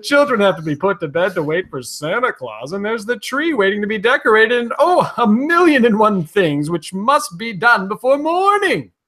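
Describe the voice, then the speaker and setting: Man putting on a bright, chipper 1940s radio announcer. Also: sits high, very animated, normal speed, few pauses, full character voice. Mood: hearty, selling. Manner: emphatic, folksy salesman delivery.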